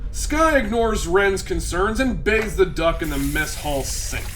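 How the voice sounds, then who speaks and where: High-voiced male mock-serious narrator. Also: expressive, measured pace, a bit bright, slight background noise. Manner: dramatic, mock-serious storytelling. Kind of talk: scripted sci-fi comedy narration.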